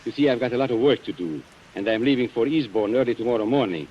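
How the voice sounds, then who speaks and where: An actor playing a suave, cunning antique dealer and murderer in a 1940s radio suspense play, speaking with smooth, mock-courteous theatricality. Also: male voice, medium pitch, lively intonation, fast, a little mellow, lo-fi sound, natural voice. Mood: breezy, courteous. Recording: hissy old radio recording with rain.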